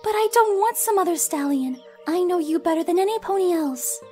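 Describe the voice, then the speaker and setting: A woman voicing a gentle, lovestruck female cartoon pony in an audio drama, soft and girlish, sweet and earnest. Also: extremely high pitch, expressive, a little fast, faint music behind. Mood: earnest, tender. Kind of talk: fan audio drama acting.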